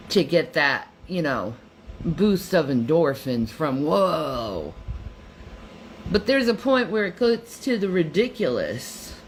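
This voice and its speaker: Slow, earnest woman with faint background noise. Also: medium pitch, poor audio. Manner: earnest, repetitive, emphatic. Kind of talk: earnest motivational monologue.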